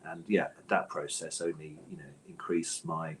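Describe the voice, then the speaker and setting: A man's voice: very deep voice, little pitch movement, normal speed, a bit bright, a little hiss or hum. A middle-aged man answering as an interview guest in a thoughtful, hesitant conversational way, often rephrasing as he goes. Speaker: interview guest, author and audio drama scriptwriter.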